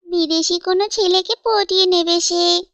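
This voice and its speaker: A woman's voice: very high-pitched, steady tone, normal speed, fairly bright tone, no echo, muffled recording. A woman voicing a lovestruck young female bird in a cartoon, confiding and coaxing. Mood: confessing love.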